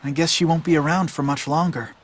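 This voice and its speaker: A man's voice: on the high side, fairly even intonation, fast, husky. A man voicing a streetwise, cynical boy in a video game, blunt and matter-of-fact, like a bossy older friend. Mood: knowing, blunt. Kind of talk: video game cutscene voice acting.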